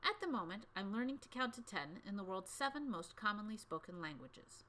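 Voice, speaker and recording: A precocious girl detective of about fourth grade age, played by a woman reading aloud. The storybook character is earnest and wordy, with a bright, lively voice that moves a lot in pitch. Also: brisk, slight background noise. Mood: matter-of-fact.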